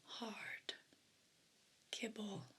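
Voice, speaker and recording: A woman voicing a haughty, possessive evil pet cat in an ASMR role play, whispering in a breathy, condescending, complaining way. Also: medium pitch, animated, bright, forceful tone, full character voice. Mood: disgusted, complaining. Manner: slow, deliberate, condescending, disdainful.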